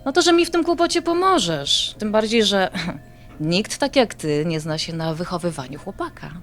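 A woman's voice: medium pitch, sing-song, moderate pace, a bit bright, faint music behind. A woman playing an affectionate adult granddaughter in an audio drama, talking in a coaxing, familiar way.

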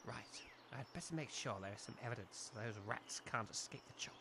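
A man's voice: mid-range voice, brisk, creaky, background noise, natural voice. A man playing a pompous, scheming village major with a clipped, theatrical delivery in a comedy audio drama. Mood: scheming mutter.

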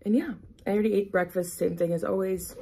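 A young woman vlogger with a slightly raspy, stuffy voice, chatting in a rambling way with lots of "like" fillers, filmed on a camera at arm's length at home and on a patio. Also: mid-range voice, fairly even intonation, quick pace, warm tone, a little hiss or hum. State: stuffy nose, a bit hoarse. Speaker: lifestyle vlogger. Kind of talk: casual rambling vlog chat. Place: home kitchen indoors, then back patio outdoors. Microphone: camera or phone at arm's length.

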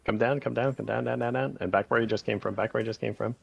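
A man leading a raid group over voice chat, calling short commands in rapid repeated bursts with countdowns. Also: mid-range voice, steady tone, vocal fry, husky, muffled recording.

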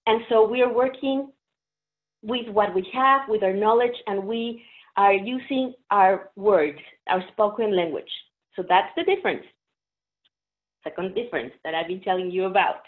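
A woman's voice: medium pitch, moderate pace. Mexican-accented woman presenting over a call. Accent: Mexican Spanish. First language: Spanish. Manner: friendly, explanatory, uses fillers. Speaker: interpreter presenter. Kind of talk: webinar presentation. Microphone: video call. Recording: phone-like narrow audio.

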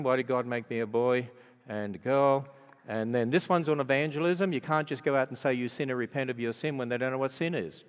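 Older Australian man, earnest speaker at a church event, mid-pitched voice, muffled sound with long hall reverb. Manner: earnest, conversational, many fillers. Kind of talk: sermon closing then announcements. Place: large auditorium.

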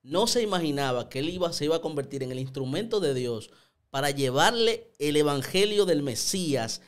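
Animated young man commenting. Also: a little high, moderate pace. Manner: animated, gestures a lot, frequent repeated words. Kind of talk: casual opinion commentary. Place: small indoor studio. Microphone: studio mic on stand, headphones.

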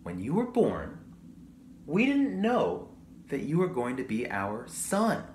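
A man voicing a tender, reassuring mother in a storybook, speaking gently and deliberately. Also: mid-range voice, huge pitch swings. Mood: tender reassurance. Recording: roomy echo, audible background noise.

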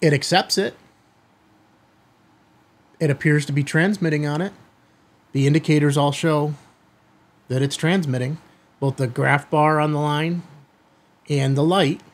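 A middle-aged man hosting a hobby video, giving a product demonstration to camera in a husky voice. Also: on the high side, fairly even intonation, unhurried, pauses often. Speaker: host of a ham radio video channel. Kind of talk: product test demo to camera. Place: small indoor studio room.

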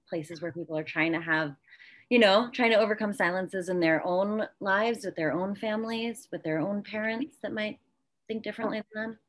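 Woman, 30s, interviewer on a video call; slightly low voice, conversational, picks her words with care. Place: small room at home, indoors, video call.

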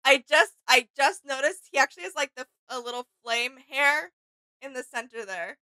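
A woman's voice: high-pitched, moderate pace, bright, forceful tone. A woman, chatting casually as co-host of a reaction. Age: 20s. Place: small home gaming room, indoors.

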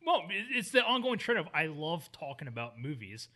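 Male co-host, blunt jokey delivery, lively bright voice. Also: on the high side, fairly quick. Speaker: podcast co-host. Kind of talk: casual chat.

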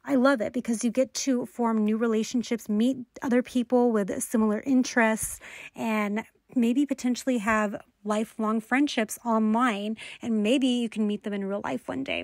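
A woman's voice: a little high, steady tone, normal speed. A woman in her late thirties chatting casually as a lifestyle vlogger while she works in her kitchen, picked up by a camera on the counter. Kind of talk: casual vlog chat while cooking, answering viewer questions. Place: home kitchen, indoors. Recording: echoey kitchen with clear background noise.

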